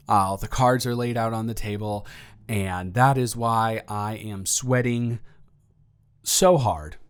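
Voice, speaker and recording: A young man playing a lovestruck roommate in an intimate audio roleplay, earnest, rambling and stammering as he nervously confesses his feelings. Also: on the low side. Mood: nervous, hesitant. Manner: rambling, stammering, earnest, awkwardly self-deprecating.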